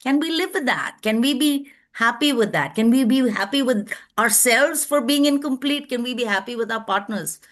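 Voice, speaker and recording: A woman's voice: fairly high pitch, lively intonation, normal speed, hardly any pauses. A woman speaking reflectively in an informal discussion, posing rhetorical questions. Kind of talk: informal book club discussion.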